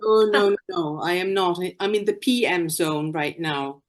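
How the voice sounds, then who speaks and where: A woman in her sixties with a Dutch accent gives a talk at an online conference. She tells her story earnestly and often restarts a phrase. Her voice sits a little low and comes through a video call. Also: moderate pace. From Netherlands. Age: 60s. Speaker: conference speaker, nurse.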